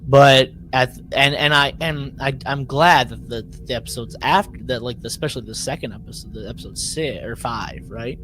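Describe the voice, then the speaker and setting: A man talks casually as a podcast co-host, recapping in loose, conversational fashion. He starts phrases, repeats them and rewords as he goes. His voice sits at an average male pitch, with some noise behind it. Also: normal speed, few pauses, bright, forceful tone, low-quality recording.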